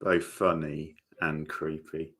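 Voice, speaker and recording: Male reaction host, slow-paced and low, casual unscripted commentary. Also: level tone, fairly bright tone, husky. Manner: off-the-cuff, sporadic remarks.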